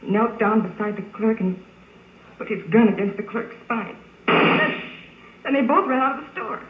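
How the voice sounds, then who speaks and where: An actress playing a shaken, reluctant young American witness in a 1940s radio drama, speaking haltingly and repeating words. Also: female voice, a little high, expressive. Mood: distressed. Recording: muffled noisy old radio playback, echoey.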